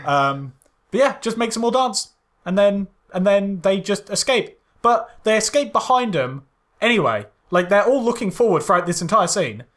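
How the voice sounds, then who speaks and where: A man's voice: high-pitched, sing-song, moderate pace, a bit bright. A man co-hosting a casual podcast, reviewing a film in a loose, rambling way with many fillers and agreements. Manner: loose, rambling, lots of "yeah" and "um". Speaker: podcast co-host.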